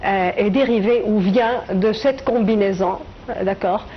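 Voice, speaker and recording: A middle-aged woman from Tunisia, a writer and translator, answering interview questions in a reflective way with many hesitant pauses. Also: mid-range voice, husky, dull audio.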